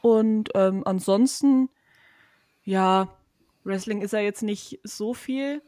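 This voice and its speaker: A young female co-host with a blocked nose from a cold, talking in an easygoing, chatty way at a middle pitch. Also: slow, warm tone. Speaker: podcast co-host. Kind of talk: casual chat.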